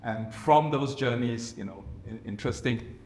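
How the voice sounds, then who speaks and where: Panel guest: man, thoughtful and halting, live roomy sound, faint room hum. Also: mid-range voice, a little mellow. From Singapore. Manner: thoughtful, restarts phrases, many "you know"s. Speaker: panel speaker. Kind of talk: reflective panel discussion. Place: reception room at a residence.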